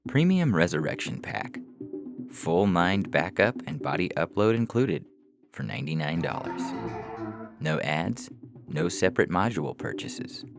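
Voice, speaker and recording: A man's voice: sits low, sing-song, measured pace, creaky, music in the background. A man reading science fiction stories aloud as scripted narration for a podcast.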